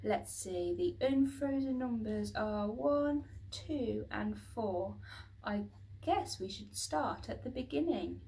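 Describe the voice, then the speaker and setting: A woman voicing an earnest, excitable young boy who thinks aloud in a read-aloud children's storybook. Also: medium pitch, slow pace, creaky, rough recording. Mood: thoughtful, eager.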